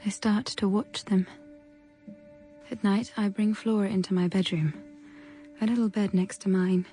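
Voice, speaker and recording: A woman plays a young governess in a gothic audio drama, confiding her fears slowly and haltingly. Her voice is hushed and breathy, fiercely protective, with music clearly playing underneath. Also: medium pitch, steady tone, lightly acted. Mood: uneasy, hushed.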